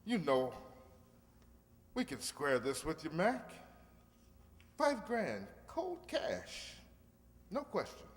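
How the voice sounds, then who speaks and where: A pushy, streetwise crook boss, acted by a man in a radio crime play. His fairly high voice swings up and down as he wheedles and threatens, ringing in an echoey hall. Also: huge pitch swings, slow, pauses often, full character voice. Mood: wheedling. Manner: wheedling, tough-talking, streetwise. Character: slick, pushy crook leader, bargaining and threatening. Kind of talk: old-time radio crime drama acting. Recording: echoey hall stage recording.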